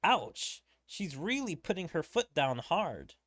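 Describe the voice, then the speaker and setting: A man's voice: on the high side, very animated, takes it slowly, a bit bright, dry recording, studio quality. A man casually commentating on a game he is streaming, chatty and rambling with lots of fillers. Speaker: gaming streamer. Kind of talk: casual commentary while reading a game aloud. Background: faint game music.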